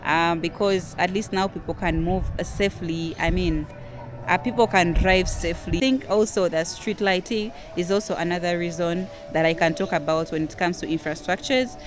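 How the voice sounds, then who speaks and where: A young Ugandan woman with a Ugandan English accent, hosting a casual vlog, chatty and leaning on "guys" a lot, with soft music behind her. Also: steady tone, normal speed. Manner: chatty, says "guys" constantly, drops to a low murmur on it. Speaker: vlogger. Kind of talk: casual talking-to-camera vlog.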